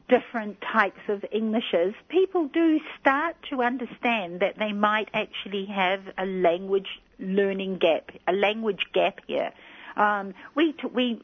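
A woman, a guest on a radio talk show, who explains things in a measured way. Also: mid-range voice, husky. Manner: measured and explanatory, often saying "you know". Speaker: guest speaking on migrant job-seeking. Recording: dull lo-fi radio recording.

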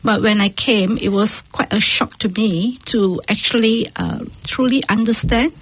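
Husky-voiced middle-aged Malaysian woman. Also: medium pitch, unhurried, no echo, poor audio. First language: not English. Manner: hesitant, with frequent fillers and restarts. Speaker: guest. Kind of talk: casual radio interview.

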